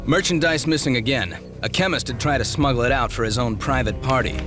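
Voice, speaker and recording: A man voicing a cold, businesslike mercenary who speaks in a clipped, matter-of-fact way, heard at a distance under loud game music. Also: mid-range voice, a little fast, close to an everyday voice.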